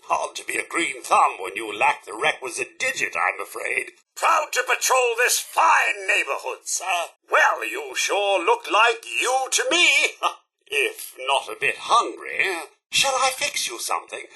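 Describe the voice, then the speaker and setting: Fussy british robot butler for a game, super animated n bright, bit high, very prim and polite. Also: male voice, a little slow, vocal fry, breathy edge, exaggerated voice. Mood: chatty, wistful. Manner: formal, servile, effusively polite. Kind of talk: video game character voice acting.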